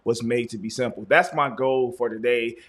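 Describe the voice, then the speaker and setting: A man's voice: medium pitch, fairly even intonation, normal speed, a bit bright. A man hosting a live panel in an informal, chatty, joking way. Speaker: host of a live health panel. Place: inside a wellness center.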